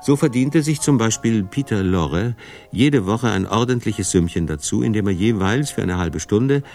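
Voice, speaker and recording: Very low-voiced male documentary narrator. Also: animated, normal speed, a little mellow, soft background music. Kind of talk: scripted radio documentary narration.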